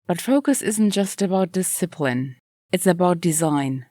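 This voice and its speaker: A woman reading scripted nonfiction as an audiobook narrator, in measured, clearly phrased delivery. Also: on the low side, lively intonation, mellow, no room sound, studio quality.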